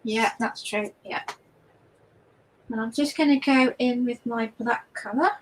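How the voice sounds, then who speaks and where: A woman guest artist with a hoarse voice, chatting casually while demonstrating painting, halting and repeating words. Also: fairly high pitch, slight background noise, poor audio.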